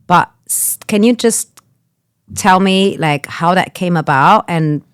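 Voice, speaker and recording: A woman's voice: on the low side, slow pace, clear, resonant tone. A woman hosting a podcast, thoughtful and searching for her words as she asks open questions. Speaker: podcast host. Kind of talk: casual podcast conversation.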